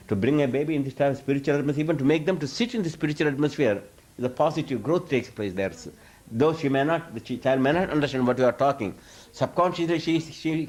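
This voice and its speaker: A man's voice: mid-range voice, darkish tone, low-quality recording. A man who is a spiritual teacher, answering audience questions in an informal lecture. He rambles, restarts his sentences often and chuckles as he talks. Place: live talk before an audience.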